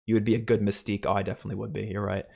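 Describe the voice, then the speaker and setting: A young man with a light New York accent answers viewer questions on a home live stream, talking in an animated, swearing, easygoing way. He is close on a boom mic in a small room. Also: racing, gravelly. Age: young adult. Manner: animated, swearing, laughs a lot. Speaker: streamer host. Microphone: studio mic on boom, headphones.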